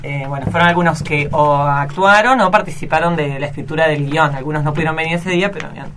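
A woman's voice: low voice, fast talker, fairly bright tone. A woman with an Argentine accent informally presenting as the leader of a workshop. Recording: distant mic with noise and clicks.

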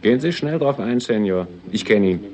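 A man plays a nervous small-time crook in a 1960s radio drama. His rather low voice is urgent and hasty, set against clear crowd murmur. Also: expressive, normal speed, natural voice. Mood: worried, urgent. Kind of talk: 1960s German radio play acting.

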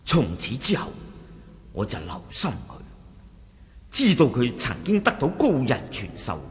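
A Cantonese-accented sect lieutenant in a martial-arts radio drama. His pitch sweeps dramatically as he reports to his chief, wary and respectful, breaking into emphatic exclamations. Long hall reverb surrounds him. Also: huge pitch swings, measured pace. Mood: suspicious. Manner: deferential, storytelling with emphatic exclamations.

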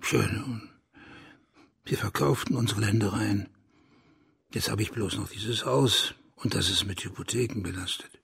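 A burly, broad-shouldered hunter in a radio drama. His voice is very husky and rough, crackling with gravel. He rambles on in an earthy, blunt way, like a talkative country gentleman. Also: on the low side, a little slow, long pauses, full character voice. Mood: garrulous, nostalgic. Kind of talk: German radio drama acting.

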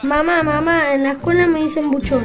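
A woman's voice: high-pitched, steady tone, fairly quick, loud music behind the voice, natural voice. A woman voicing a whiny schoolchild complaining to mum in a short radio joke sketch. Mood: plaintive. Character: complaining schoolchild telling mum about nicknames.

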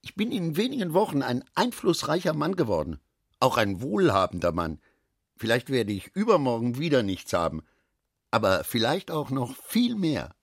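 A man's voice: mid-range voice, wildly swooping pitch, normal speed, husky, no echo, studio quality, full character voice. An actor playing a garrulous, self-satisfied middle-aged businessman in a radio drama, chatty and given to philosophizing. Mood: boastful.

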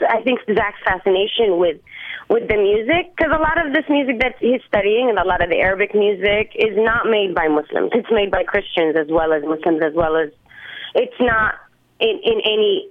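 A woman's voice: mid-range voice, expressive, normal speed, no room sound. A woman musician being interviewed, speaking earnestly and often restarting as she searches for words. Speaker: musician guest. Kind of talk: interview over the phone. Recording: tinny phone line.

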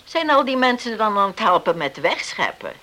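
Lively, motherly village woman. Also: medium pitch, normal speed. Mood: nagging, curious. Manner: motherly, frank, expressive. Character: kindly, plain-spoken village mother, older woman. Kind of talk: Dutch radio drama acting.

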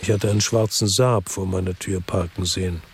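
An actor playing a world-weary former policeman who narrates his story calmly and reflectively in a radio drama. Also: deep voice, brisk, slight background noise. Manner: calm, reflective first-person narration. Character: world-weary ex-cop, middle-aged man, lives by the sea.

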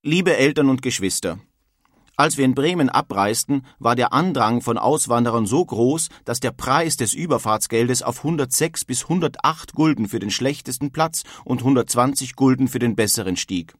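An actor playing a 19th-century German emigrant reading his letter home in a measured, formal way for an audio documentary. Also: medium pitch, expressive, no echo, studio quality, lightly acted. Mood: earnest, relieved.

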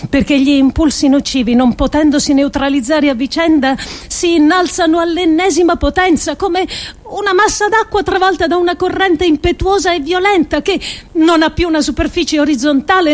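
A woman performing a scripted radio reading in a measured, deliberate, declamatory way with pauses. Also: extremely high pitch, steady tone. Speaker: performer reading an adapted essay.

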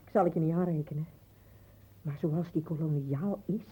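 A woman playing a reproachful, insistent middle-aged wife and mother in a radio drama, her voice husky. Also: low voice, moderate pace, warm tone, a little hiss or hum, natural voice. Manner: insistent, repetitive, accusing. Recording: muffled, narrow phone-like sound.

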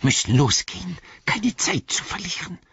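A sneaking, agitated storybook creature, speaks in a breathy, hissing rasp. The voice leaps high and often thins into whispers, repeating itself in clipped, urgent phrases. The sound is slightly dull. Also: male voice, lively intonation, normal speed, a bit bright, no room sound, heavily acted. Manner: urgent repetitive muttering in clipped broken phrases. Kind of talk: audiobook character voice.

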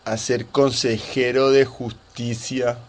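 A man's voice: medium pitch, little pitch movement, slow, slight background noise. A man speaking colloquially and mock-earnestly as the patient in a satirical radio sketch. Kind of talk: slow, casual satirical sketch dialogue.

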